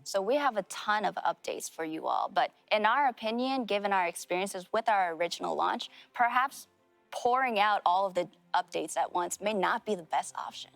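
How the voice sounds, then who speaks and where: A woman interpreting for a game developer in measured, formal phrasing. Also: mid-range voice, creaky, a bit bright, no echo, soft background music. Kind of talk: consecutive interpreting.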